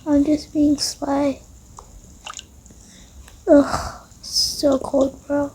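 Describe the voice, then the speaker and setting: A woman's voice: high voice, monotone, extremely slow, warm tone, a little hiss or hum. A female voice improvising a roleplay with toy game characters, slangy and drawling, with sighs. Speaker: roleplay video creator voicing toy characters.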